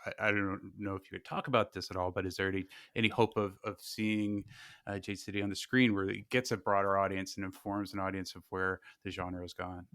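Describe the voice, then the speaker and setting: A man's voice: low voice, little pitch movement, fairly quick, fairly bright tone, smooth, dry recording. A man interviewing on a podcast, casually asking questions with hesitant, repeated words.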